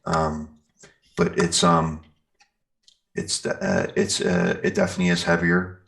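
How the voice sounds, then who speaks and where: A man's voice: deep voice, level tone, takes it slowly, creaky, fairly bright tone. A man speaking casually as an interview guest, explaining things in a rambling, think-aloud way. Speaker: interview guest, metal band singer and guitarist.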